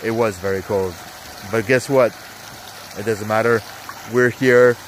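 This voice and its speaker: A man's voice: fairly low pitch, fairly even intonation, measured pace, slight background noise, low-quality recording. A congested man with a sore throat, casually rambling through his weekly football picks with lots of fillers, talking from an outdoor hot tub. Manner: rambling, many fillers, hyped asides. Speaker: sports betting vlogger. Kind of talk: casual NFL picks rundown.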